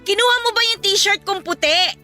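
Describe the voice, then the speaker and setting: A woman's voice: very high-pitched, normal speed, pushed and bright. A Filipino woman acting an irritable, aggrieved elder sister in her twenties in a radio drama, scolding and exasperated. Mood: irritated, scolding. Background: music.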